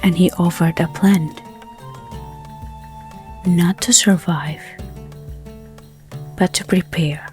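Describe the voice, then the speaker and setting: A woman narrating a bedtime story in a gentle, soothing, hushed manner, with unhurried phrasing. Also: lowish voice, steady tone. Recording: soft music under the voice. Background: background music.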